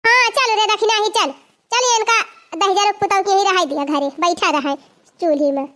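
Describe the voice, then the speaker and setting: A feisty cartoon village sister-in-law with an Awadhi accent. The voice is extremely high and piercingly bright. She gossips and grumbles in a rustic, chatty way. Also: moderate pace. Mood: feisty, eager. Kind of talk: cartoon comedy dialogue in rustic dialect.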